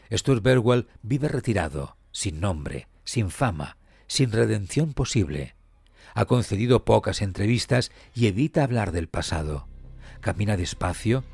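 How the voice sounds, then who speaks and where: A man reading scripted documentary narration in a solemn, measured, dramatic way with weighty pauses. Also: fairly low pitch, vocal fry, darkish tone, breathy edge, no echo. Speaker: true crime podcast narrator. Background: background music.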